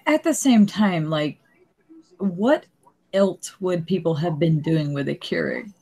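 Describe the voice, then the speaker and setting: Chatty Southern woman, low voice. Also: lively intonation, slow, warm tone, slightly rough, rough recording. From Alabama. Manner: chatty, laughs often. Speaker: live stream host. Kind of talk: casual chat.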